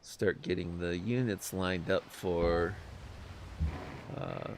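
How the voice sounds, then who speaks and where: A man's voice: deep voice, fairly even intonation, takes it slowly, a little hiss or hum. A man streaming a strategy game, chatting casually with viewers, hesitant and self-deprecating, with many fillers. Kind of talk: casual live-stream commentary. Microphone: desk microphone, no camera.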